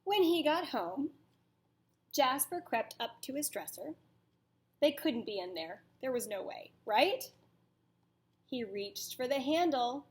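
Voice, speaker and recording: Slow, high-voiced woman reading a storybook. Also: very animated, a little hiss or hum. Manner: deliberate, dramatic storytelling delivery. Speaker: teacher reading aloud to children. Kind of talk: expressive picture-book read-aloud with questions to children.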